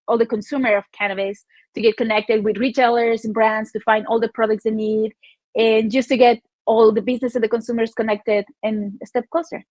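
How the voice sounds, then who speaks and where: A woman's voice: a little high, darkish tone, dry recording. Female engineer on a panel, Mexican accent, informal explanation. Dry, slightly muffled sound. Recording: dry, slightly dull call audio.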